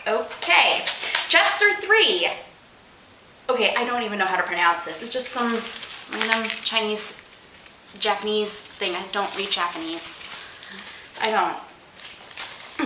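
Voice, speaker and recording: A woman's voice: on the high side, echoey room, background noise. A woman reading comic fiction aloud as host, theatrical, with sarcastic, exasperated asides. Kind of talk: comedic dramatic reading with asides.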